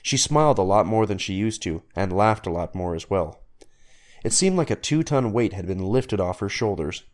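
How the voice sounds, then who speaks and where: A man's voice: lowish voice, lively intonation, moderate pace, darkish tone. A man reading scripted story narration as the narrator.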